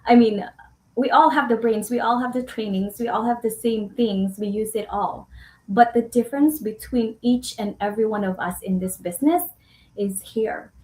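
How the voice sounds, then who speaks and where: A woman's voice: fairly high pitch, steady tone, moderate pace, a little mellow, roomy sound, rough recording. A woman hosting a casual live-stream chat, chatty, laughing often and restarting her sentences.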